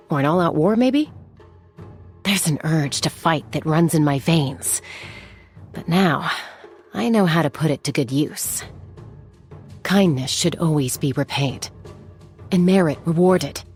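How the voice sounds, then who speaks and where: A woman voicing a confident, composed young female gunslinger and peacemaker in a video game, with a husky voice and a measured, wry delivery. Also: fairly low pitch, darkish tone. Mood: bright, playful. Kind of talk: scripted game character voice lines.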